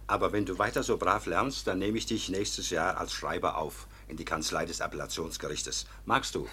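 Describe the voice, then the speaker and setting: A man's voice: low voice, fairly even intonation, moderate pace, bright, forceful tone, a little hiss or hum. An actor playing a kind, fatherly older nobleman and judge in a radio drama, speaking patiently and reassuringly. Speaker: legal official and guardian.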